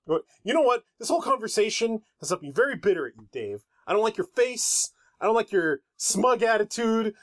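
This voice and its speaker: Higher-pitched man bantering on a podcast. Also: fairly bright tone. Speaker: podcast host.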